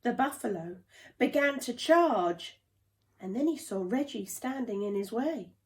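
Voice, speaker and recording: Slow, expressive woman storyteller. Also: a little high. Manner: slow, deliberate, dramatic storytelling. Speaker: play therapist reading a children's book. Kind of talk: expressive children's storybook narration.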